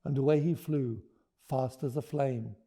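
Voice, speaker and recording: A man reading a children's storybook aloud and talking about it, with deliberate, expressive phrasing. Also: medium pitch. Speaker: children's author reading his book aloud. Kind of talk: expressive storybook read-aloud with teaching notes.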